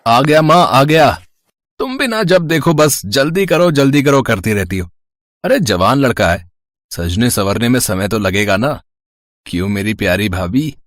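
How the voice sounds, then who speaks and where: A man's voice: mid-range voice, lively intonation, a little slow, dry recording, studio quality, natural voice. A man playing a playful, sweet-talking young brother-in-law in a family storybook drama. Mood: cheeky. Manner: playful, coaxing.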